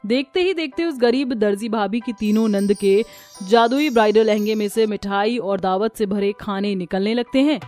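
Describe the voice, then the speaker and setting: A woman's voice: mid-range voice, normal speed, warm tone, faint music behind. A woman narrator reading a scripted cartoon story.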